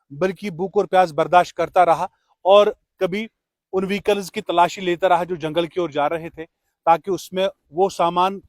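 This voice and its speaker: Male radio host, courteous and formal, fairly high voice, very dry recording, interview-and-tribute delivery. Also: no echo. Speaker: radio host presenting an appreciation award. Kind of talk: on-location interview and tribute speech.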